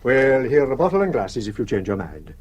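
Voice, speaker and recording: A man's voice: medium pitch, big pitch swings, a little fast. An actor playing a courteous middle-aged Shetland father with a Shetland Scots accent, welcoming a guest in a radio drama. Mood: hospitable. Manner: courteous, old-fashioned island speech. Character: hospitable older Shetland crofter father. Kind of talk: 1960s radio drama acting.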